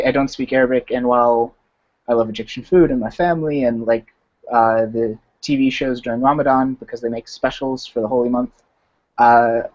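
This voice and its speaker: An eighteen-year-old man with an American accent, sharing personally in a halting, reflective way over a video call. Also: medium pitch, darkish tone. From Arizona, United States. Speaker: discussion participant, college student. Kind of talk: casual personal sharing in online discussion. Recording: dull, lo-fi video-call audio.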